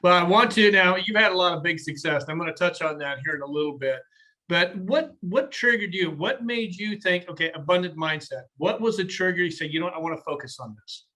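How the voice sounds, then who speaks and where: A man's voice: high-pitched, a little fast, bright, forceful tone, poor audio. A man hosting an interview, asking questions and dropping brief affirming interjections. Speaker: host. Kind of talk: conversational interview.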